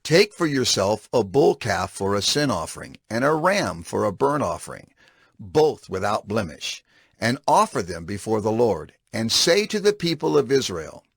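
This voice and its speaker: Authoritative biblical leader, creaky gravelly voice, animated intonation, solemn, declamatory, dramatized scripture. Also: male voice, on the low side, normal speed, no echo, studio quality, heavily acted. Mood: instructing.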